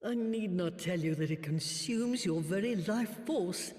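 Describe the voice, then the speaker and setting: An actress playing a stern old sorceress mentor in a video game, scolding and deliberate but caring underneath. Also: female voice, lowish voice, darkish tone, lightly acted. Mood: stern concern. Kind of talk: dramatic video game cutscene acting. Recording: echoey hall with long reverb.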